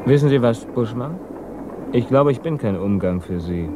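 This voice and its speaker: An actor playing a wary, dryly ironic German émigré scientist in a 1950s radio drama, reserved and guarded. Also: male voice, mid-range voice, animated, moderate pace, natural voice. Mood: firm, defensive. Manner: guarded, dry. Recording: 1950s radio recording, faint noise.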